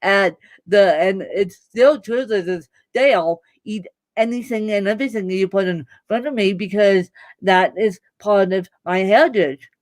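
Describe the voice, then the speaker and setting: A woman's voice: mid-range voice, normal speed, darkish tone, no echo. A woman guest on a live video podcast, telling stories with emphatic, humorous delivery. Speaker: podcast guest and fellow podcast host. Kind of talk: casual video interview.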